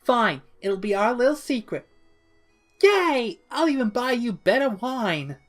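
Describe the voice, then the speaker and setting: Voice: medium pitch, sing-song, a little slow, faint music behind, voice pushed up. A narrator voicing a bubbly, mischievous, childlike young villainess who exclaims, teases and pouts playfully in an audiobook-style story. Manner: exclaiming, teasing, playfully pouty.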